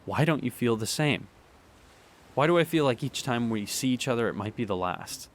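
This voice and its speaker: A young man performing a radio drama monologue, halting and sighing as he pleads with an absent partner. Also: medium pitch, animated. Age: young adult. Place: beside the sea.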